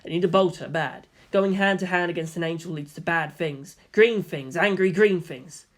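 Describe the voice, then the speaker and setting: A woman voicing an earnest, odd teenage boy pilot with a dramatic, deliberate manner. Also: lowish voice, close to an everyday voice. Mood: earnest, intense.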